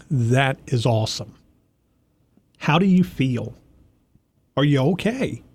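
A man's voice: medium pitch, a little mellow. A man hosting a casual talk show, stuffy from allergies, giving folksy, encouraging advice straight to a listener. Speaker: host.

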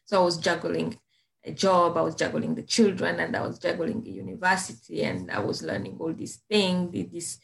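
Hesitant woman from Rwanda, lowish voice. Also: moderate pace, creaky, poor audio. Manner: hesitant, many fillers and restarts. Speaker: podcast guest, business founder. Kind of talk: casual interview storytelling.

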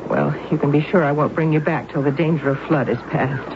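An actress playing a fretful, protective aunt who scolds in a fussy, exclamatory way, in an old-time radio drama. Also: female voice, sits low, fairly even intonation, brisk, close to an everyday voice. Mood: alarmed scolding. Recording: muffled, noisy old radio recording.

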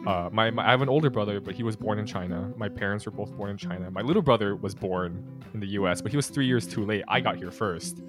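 A man's voice: fairly low pitch, fast, hardly any pauses, over music. A young man from the United States co-hosting a podcast, talking in a thoughtful, hesitant way with many restarts and small laughs. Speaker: podcast co-host. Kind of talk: casual conversational podcast.

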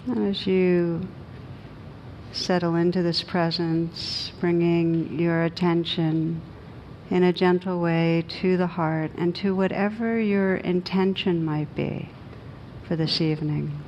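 A woman meditation teacher leading a guided meditation in a gentle, soothing, unhurried manner with long pauses. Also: steady tone, highs cut off. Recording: noisy recording with low rumble.

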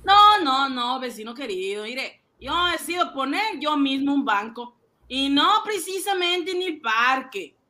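A man voicing an excitable, boastful ice-cream seller who fancies himself a banker, cajoling and exclaiming in a comic radio drama. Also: extremely high pitch, a little slow, pitched above the performer's own voice, exaggerated voice. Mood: eager, curious.

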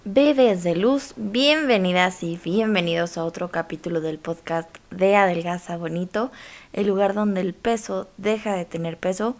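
A woman with a Mexican accent hosting a conversational podcast, speaking informally and straight to the listener. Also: mid-range voice. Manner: informal, addresses listener directly. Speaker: podcast host.

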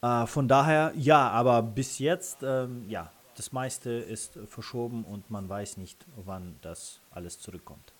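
Man with a Russian accent, halting delivery. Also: medium pitch. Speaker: interview guest, freelance photographer and videographer. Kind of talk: casual interview answers.

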